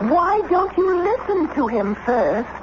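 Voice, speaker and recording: An actress playing a clever, persistent diplomat's wife, coaxing and teasing in an old radio drama. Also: female voice, very high voice, very animated, slow pace, heavily acted. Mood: reasoning, persistent. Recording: muffled, noisy old radio broadcast.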